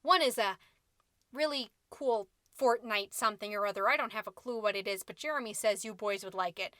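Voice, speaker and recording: A woman's voice: medium pitch, a little fast, a bit bright, no room sound, studio quality, close to an everyday voice. A woman playing a catty, indignant mom who hosts a podcast, delivering a sarcastic, melodramatic comedic monologue. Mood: scheming. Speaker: podcast host.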